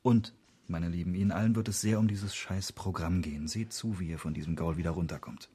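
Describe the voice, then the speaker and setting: A man's voice: sits low, fairly quick, warm tone, close to an everyday voice. A man playing an exasperated, sardonic police colleague in a radio crime drama, scolding with heavy sarcasm. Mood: sarcastic. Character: exasperated male police colleague, sardonic, grumbling but loyal.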